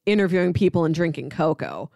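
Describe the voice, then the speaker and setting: A woman's voice: sits low, animated, fast talker, vocal fry, warm tone, no room sound, clean studio sound. A woman co-hosting a podcast in casual conversation. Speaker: podcast co-host.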